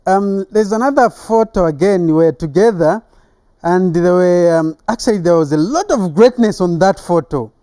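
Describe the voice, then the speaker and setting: Male host, high pitch, conversational questioning, dark soft timbre, dry studio sound. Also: moderate pace, clear, resonant tone, no echo. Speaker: sports show host. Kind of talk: conversational interviewing.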